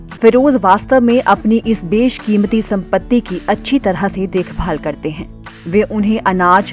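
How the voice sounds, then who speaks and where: Woman reading a news feature. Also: mid-range voice. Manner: steady formal reading. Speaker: news narrator. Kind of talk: scripted news voice-over.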